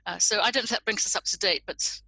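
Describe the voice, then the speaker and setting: A woman's voice: mid-range voice, quick pace, sharp tone, rough recording. A middle-aged woman from Indonesia, a guest in a reflective conversation, speaking thoughtfully as she searches for her words.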